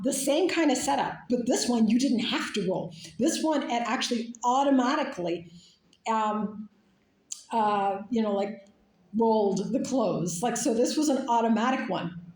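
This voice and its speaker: A woman's voice: a little high, roomy sound, low-quality recording. A woman from Canada talking in a casual, rambling monologue, full of fillers and restarts. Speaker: speaker.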